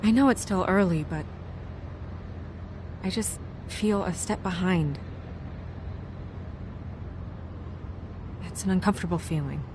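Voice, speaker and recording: A woman's voice: on the low side, moderate pace, background noise, natural voice. A woman playing a weary, conscientious public servant in a video game, earnest, reflective and hesitant. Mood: uneasy. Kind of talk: naturalistic video game voice acting. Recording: very loud music under the voice.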